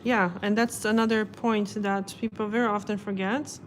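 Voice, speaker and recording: A woman's voice: mid-range voice, moderate pace, a little hiss or hum. A woman nail technician chatting casually on a live stream and explaining her work as she goes. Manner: relaxed, explains as she works. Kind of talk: casual live-stream chat while working.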